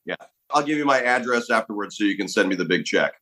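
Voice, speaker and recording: A middle-aged man from Los Angeles, a guest on a podcast, telling stories in a relaxed, casual way. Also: fairly low pitch, fast talker. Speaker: guest actor. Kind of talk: casual interview chat.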